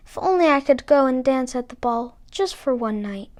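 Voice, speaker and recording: Wistful, earnest young fairy tale heroine, high voice, exclamatory played by a female reader. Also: animated, moderate pace, a little mellow. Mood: wistful, sad. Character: young Cinderella, mistreated, wistful, wide-eyed and eager. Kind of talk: storybook character voice.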